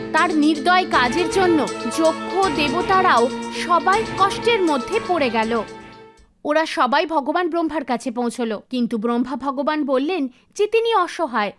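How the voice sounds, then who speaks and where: A woman reading scripted narration for an animated mythological story. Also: high-pitched. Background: music.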